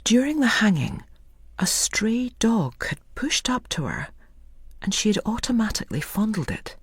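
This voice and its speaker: Woman, story narration, hushed near-whisper, husky, lively pitch. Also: moderate pace, no room sound, studio quality. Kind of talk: scripted audiobook narration.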